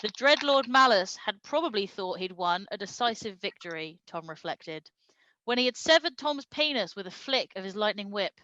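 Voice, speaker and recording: A woman's voice: mid-range voice, animated, moderate pace, pushed and bright, dry recording, lo-fi sound. A woman reading a comic story aloud with dramatic storytelling delivery and amused asides. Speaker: reader of comic fan fiction at a live stream show.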